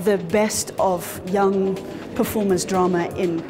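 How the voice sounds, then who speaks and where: A woman's voice: medium pitch, fairly even intonation, slow, music in the background. A South African woman speaking in an interview as an organiser, articulate and explanatory. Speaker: festival organiser.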